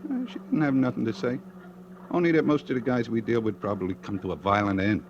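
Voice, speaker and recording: A man with an American accent playing a squeamish, rattled morgue worker in a halting, jittery 1940s radio style. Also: fairly low pitch, lively intonation, dull audio. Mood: uneasy, halting. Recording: noisy old radio drama recording.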